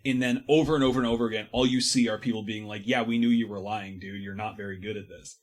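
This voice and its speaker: A man's voice: fairly low pitch, steady tone, fast talker. A man talking casually and analytically about books as a podcast panelist, with slangy turns of phrase. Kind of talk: casual book discussion.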